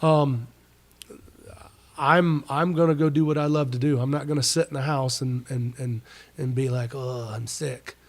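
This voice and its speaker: A man's voice: mid-range voice, steady tone, moderate pace. A middle-aged man with a stuffy, clogged-up voice from a recent illness, co-hosting a podcast. He talks in loose, rambling stretches, breaking off and starting again, and his phrasing is folksy and full of slang. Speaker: podcast co-host. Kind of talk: casual chat.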